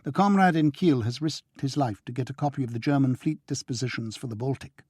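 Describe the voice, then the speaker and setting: A man's voice: medium pitch, a little fast, a little mellow, slightly rough, no room sound, studio quality, voice pushed up, natural voice. A man reading a stern, curt, businesslike woman who serves as a secret contact in an audiobook.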